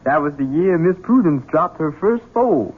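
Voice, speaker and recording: A man's voice: on the high side, expressive, normal speed, husky. A man playing an obedient rural Southern servant in a radio drama, rustic and plainspoken, with a Southern accent. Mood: folksy, obliging. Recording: muffled noisy old radio broadcast.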